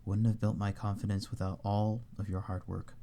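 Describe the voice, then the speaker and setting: Male amateur creator, casual outro. Slightly low pitch, creaky, conversational. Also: level tone, moderate pace, warm tone. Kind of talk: casual outro thanking listeners.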